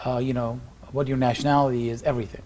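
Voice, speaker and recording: A middle-aged man hosting an interview, his voice in an ordinary mid range. He speaks haltingly, pausing often as he works out each question. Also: medium pitch, flat delivery, darkish tone. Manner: hesitant, many fillers and restarts. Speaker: interview host.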